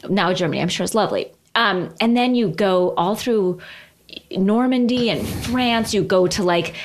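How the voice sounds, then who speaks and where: A woman's voice: medium pitch, moderate pace. A woman co-hosting a podcast in casual, jokey chat, telling things in a mock-earnest way. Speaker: podcast co-host.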